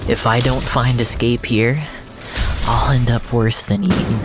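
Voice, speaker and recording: A narrator of medium pitch reads dramatic first-person fiction. The delivery is very slow and deliberate, with room between phrases. Loud music plays all through under the voice. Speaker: narrator of a surreal fiction story.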